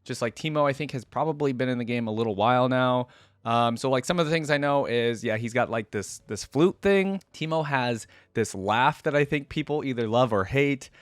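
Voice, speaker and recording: A man hosting a reaction video in an informal way, reading passages aloud and pausing to comment on them. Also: mid-range voice, no echo, clean studio sound.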